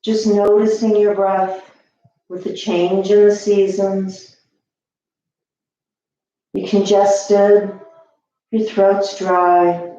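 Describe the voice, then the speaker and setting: A woman teaching yoga, guiding breath and posture gently and soothingly with long pauses. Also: medium pitch, little pitch movement. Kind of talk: guided yoga and meditation instruction. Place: echoey indoor room. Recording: echoey room, slightly dull sound.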